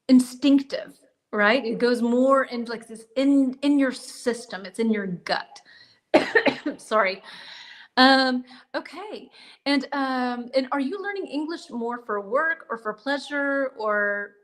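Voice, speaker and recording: High-voiced upbeat teacher woman. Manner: upbeat and encouraging. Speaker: English teacher and host. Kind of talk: encouraging interview chat.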